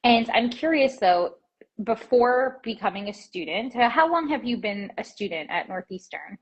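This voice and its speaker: A woman's voice: on the high side, lively intonation, normal speed, slightly rough, lo-fi sound. A woman hosting an interview podcast, talking in a casual, affirming way with frequent fillers and restarts. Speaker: podcast host, dietitian. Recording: intro over music.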